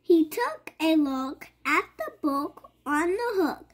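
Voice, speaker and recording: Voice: extremely high pitch, very animated, takes it slowly. A child reading a picture book aloud. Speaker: child reader.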